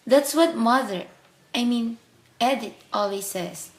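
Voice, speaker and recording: An actress plays a lovestruck young daughter in a radio drama. Her voice is fairly high, and she comes across as eager, naive and headstrong. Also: unhurried, lightly acted. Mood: indignant, let down. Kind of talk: radio play acting.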